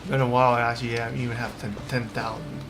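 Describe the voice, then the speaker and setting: A man's voice: mid-range voice, little pitch movement, fast talker, background noise. A man chatting casually on a gaming livestream, rambling and slangy as he talks to himself. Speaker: live streamer. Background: game music.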